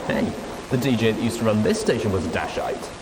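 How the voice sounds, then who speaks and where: Man as wry, low-voiced radio DJ, noisy backdrop. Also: animated, brisk, close to an everyday voice. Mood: wry sympathy. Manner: casual, wry asides. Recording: loud rain-like noise behind voice.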